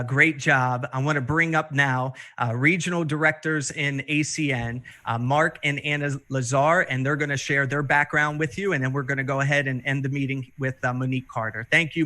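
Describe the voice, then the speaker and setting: Bright man hosting a sales pitch. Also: fairly even intonation, a little fast, hardly any pauses. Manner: persuasive, loose and conversational. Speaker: business presenter and meeting host. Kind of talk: motivational pitch.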